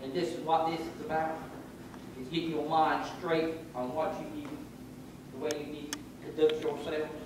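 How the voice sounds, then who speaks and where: A man's voice: a little high, flat delivery, normal speed, background noise. A man, giving a folksy, preacherly motivational talk to youth in a large wooden camp hall. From North Carolina. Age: 60s. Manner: folksy, preacherly, gesturing while pacing. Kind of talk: motivational speech. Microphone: far camera. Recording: echoey hall from far off.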